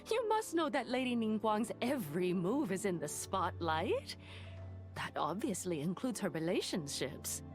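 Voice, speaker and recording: An actress playing an eager, inquisitive, gossipy female merchant in a video game, with an animated, polite and teasing manner. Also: mid-range voice.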